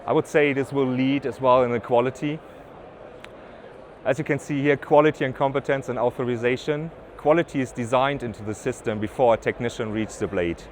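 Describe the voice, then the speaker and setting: A man with a German accent giving a trade-fair presentation as a business development manager, with halting phrasing, fillers and restarts. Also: mid-range voice, steady tone, a little hiss or hum. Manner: slightly halting non-native phrasing with ums and restarts. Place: exhibition stand at an offshore wind event.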